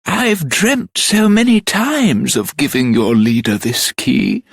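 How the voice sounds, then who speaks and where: A man's voice: mid-range voice, slow pace, vocal fry, slightly rough, dry recording, clean studio sound, exaggerated voice. An actor playing a dreamy, eccentric old man in a fantasy video game, drawling his words in a whimsical, theatrical way. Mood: knowing, expectant.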